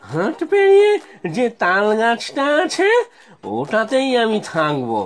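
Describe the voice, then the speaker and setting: A greedy fish-craving cartoon ghost played by a woman, coaxing and wheedling. The voice leaps wildly up and down and stretches words out slowly, over clear background music. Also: fairly high pitch, huge pitch swings, exaggerated voice. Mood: insistent boasting. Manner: drawn-out, wheedling speech. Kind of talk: exaggerated children's cartoon acting.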